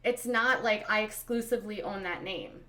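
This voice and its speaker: A woman's voice: mid-range voice, moderate pace, bright, forceful tone. A woman from Minnesota with a Minnesotan accent, hosting a casual commentary stream, talking in an exasperated, emphatic way and calling her viewers "you guys.". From Minnesota, USA. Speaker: commentary channel host.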